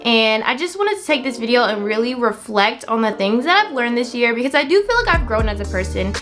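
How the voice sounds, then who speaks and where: A woman's voice: on the high side, big pitch swings, a little fast, a bit bright, faint music behind. A woman of twenty-one talking casually to her viewers as a vlogger, in an upbeat, encouraging, chatty way with emphatic repetition. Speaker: vlogger hosting own channel. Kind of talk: casual chat to camera.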